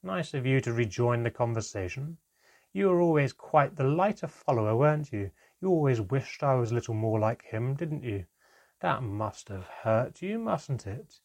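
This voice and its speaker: Guy doing a nasty goblin dad from a storybook, raised pitch, loud n mocking, bit husky. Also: animated, normal speed, heavily acted. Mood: cruel sarcasm. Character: cruel, scornful, roaring goblin father, prone to mocking. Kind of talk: audiobook character voice.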